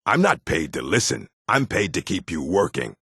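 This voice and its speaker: A man voicing a gruff, proud orc warrior and smith in a fantasy video game, blunt and stern, with a gravelly, husky voice. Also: low-pitched, very animated, moderate pace, sharp tone, dry recording, clean studio sound, exaggerated voice. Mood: menacing, scornful. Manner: blunt, stern, clipped phrasing.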